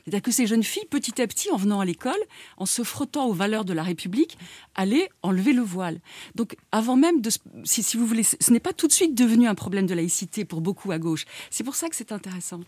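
Husky woman explaining in an interview. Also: medium pitch, expressive, fast talker, a little mellow, no echo. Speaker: studio guest, TV channel content director. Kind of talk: explanatory live interview answers. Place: radio studio.

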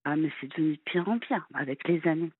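A woman in her fifties from France calling a late-night radio show, halting and hesitant with many fillers, heard over a phone line. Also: low-pitched, slightly rough, dry recording. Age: 50s. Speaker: caller.

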